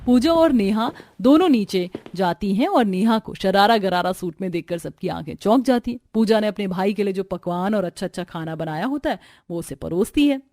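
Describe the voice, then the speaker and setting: A woman's voice: mid-range voice, expressive, normal speed, few pauses, darkish tone, no echo. A woman giving scripted narration for a cartoon family story.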